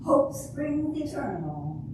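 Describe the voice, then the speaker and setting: A woman's voice: high voice, sing-song, slow pace, full character voice. An older woman playing a disillusioned, wry Cinderella in an amateur stage reading, with a dry, sardonic delivery. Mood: wry, bitter. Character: jaded, wry grown-up Cinderella, disillusioned with romance. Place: echoey room at a retirement community event. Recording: very echoey room, distant mic, hissy noise.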